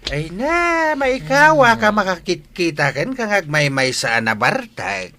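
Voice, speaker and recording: A man playing an older village father, excitable, fond and nagging, in a radio-style drama. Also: high-pitched, measured pace, full character voice. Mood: surprised, scolding.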